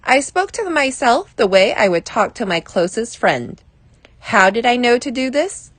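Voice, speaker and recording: A woman reading a personal advice essay aloud as scripted narration, in a measured, deliberate way. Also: on the high side, very animated, no echo.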